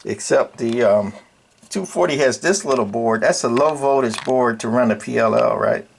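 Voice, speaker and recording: A man's voice: mid-range voice, moderate pace, gravelly, slight background noise. An older man giving a casual, unscripted walkthrough as a radio hobbyist, talking in a rambling, colloquial, folksy way. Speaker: hobbyist radio repairer and video presenter.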